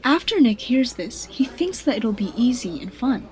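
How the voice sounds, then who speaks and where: A woman narrating a radio drama from a script in a husky, breathy voice. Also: fairly high pitch, big pitch swings, normal speed, darkish tone.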